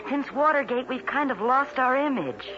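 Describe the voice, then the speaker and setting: Feisty young reporter, muffled old radio. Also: female voice, high-pitched, animated. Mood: earnest. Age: young adult. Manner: earnest, quick-witted, sassy. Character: ambitious young newspaper woman reporter, idealistic and feisty. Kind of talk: 1970s radio drama acting. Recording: muffled vintage radio broadcast, music behind.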